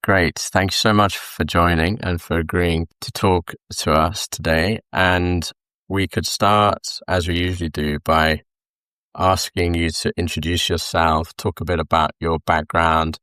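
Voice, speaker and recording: A man hosting an interview podcast, friendly and slightly halting as he welcomes and prompts his guest. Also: low-pitched, steady tone, fairly bright tone, dry recording. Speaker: podcast host.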